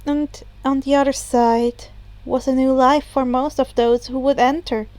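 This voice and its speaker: A woman's voice: high voice, fairly even intonation, measured pace, darkish tone, no room sound. A woman reading fiction aloud as an audiobook narrator, in a scripted storytelling style.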